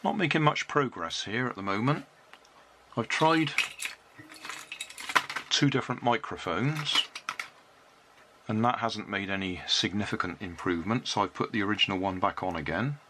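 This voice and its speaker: An older British man narrating a hands-on repair in a casual, unhurried, matter-of-fact way. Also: fairly low pitch, pushed and bright. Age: 60s or older. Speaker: hobbyist repairing and reviewing a toy. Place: cluttered indoor hobby workbench. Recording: noisy room with clicks and handling sounds.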